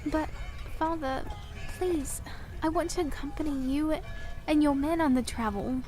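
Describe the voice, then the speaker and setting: Strong-willed young fantasy princess, played by a woman: high voice, slow formal delivery, loving yet proud. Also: fairly even intonation, warm tone, soft background music. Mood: pleading. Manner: formal, archaic storybook diction. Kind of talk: fantasy audio drama acting.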